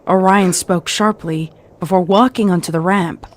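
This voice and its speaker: A woman narrates a dramatic story from a script. Her voice sits a little low, and there is slight noise behind it. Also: fairly even intonation, moderate pace.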